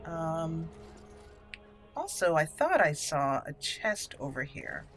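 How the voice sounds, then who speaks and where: A woman's voice: low-pitched, big pitch swings, slow pace, fairly bright tone. A woman hosting a roleplaying let's play, speaking in character and commenting as she plays. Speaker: gaming channel host, player. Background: game music.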